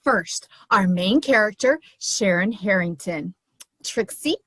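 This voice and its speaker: A woman's voice: medium pitch, animated, takes it slowly, fairly bright tone, breathy edge, no echo, exaggerated voice, poor audio. A woman playing an eager, attention-seeking costume and makeup artist in an amateur theater comedy, perky and theatrical. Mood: eager, proud. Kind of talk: comedic web series acting.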